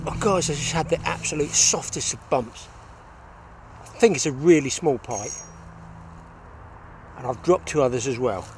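A man's voice: medium pitch, expressive, moderate pace, long pauses, a little mellow, soft background music. An older man hosting a fishing show, chatting casually and exclaiming to viewers in an outdoor recording. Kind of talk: casual fishing vlog commentary to camera. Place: outdoors on a grassy riverbank. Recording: outdoor location sound with background noise.

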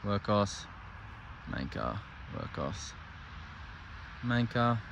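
Chatty man with a bright voice. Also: on the low side, very animated, drawn-out words, gravelly, slight background noise. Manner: chatty and enthusiastic, thinking aloud. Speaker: flashlight reviewer. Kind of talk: casual product review. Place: workshop desk indoors, then outdoors at night.

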